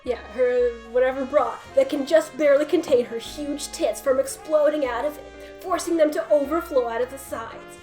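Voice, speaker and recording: A woman's voice: sits high, moderate pace, hardly any pauses, a little mellow. A woman narrating a comic story as a dramatic reading. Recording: roomy echo, music behind.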